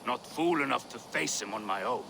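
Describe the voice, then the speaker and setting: An actor playing a gruff, defiant, crude old Viking warrior in a video game, blunt and grumbling. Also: male voice, medium pitch, lively intonation, a little fast, noisy background. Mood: stubborn, defensive. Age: older. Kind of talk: video game cutscene acting.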